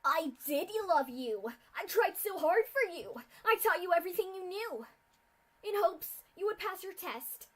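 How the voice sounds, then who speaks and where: A woman's voice: very high-pitched, unhurried, voice pushed up. A woman doing a furious, bitter pegasus mare. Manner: screaming in rage, then cold and flat.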